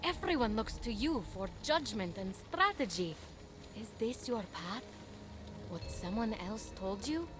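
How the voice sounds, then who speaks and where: A woman's voice: on the high side, expressive, a little slow, over music. A woman playing a young, stubborn and caring mortal hero in a video game, speaking earnestly. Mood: earnest, firm. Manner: earnest, encouraging. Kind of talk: animated video game acting.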